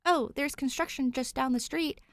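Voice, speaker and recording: A woman's voice: high-pitched, moderate pace, no room sound, pitched above the performer's own voice. A woman voicing a sardonic, weary runaway heroine who grumbles with dry exasperation in a narrated story. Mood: exasperated sarcasm.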